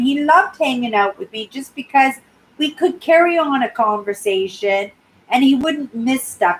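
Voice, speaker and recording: A woman in her sixties from Canada, chatting casually on her live stream in a clear, high-pitched voice and often ending sentences with "right?". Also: a little hiss or hum, low-quality recording. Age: 60s. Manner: rambling, tags sentences with "right?". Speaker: YouTube channel host.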